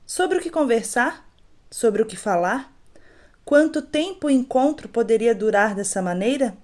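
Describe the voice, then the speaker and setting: A woman as higher-voiced radio drama narrator. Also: expressive, moderate pace, lightly acted. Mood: reflective. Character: female narrator recalling old friends' reunion.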